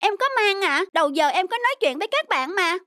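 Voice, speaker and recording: A woman's voice: very high voice, expressive, a little fast, bright, forceful tone, dry recording, clean studio sound, full character voice. A woman voicing a proud, hasty little schoolboy in a Vietnamese cartoon, speaking in an emphatic, indignant way.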